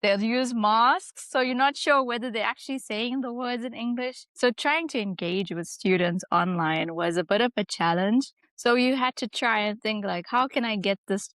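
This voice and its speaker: A woman's voice: a little high, normal speed, hardly any pauses, a bit bright, no echo. A young woman from South Africa with a South African accent, telling her story as a guest in a casual interview, chatty and full of fillers. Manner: chatty, laughs easily, many "like" fillers. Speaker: guest, English teacher.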